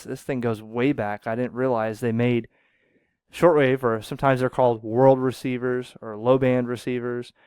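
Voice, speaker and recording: Chatty man with a smooth voice. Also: mid-range voice, no echo, studio quality. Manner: rambling, self-correcting, chuckles often. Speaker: ham radio operator and channel host. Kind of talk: casual radio demo talk.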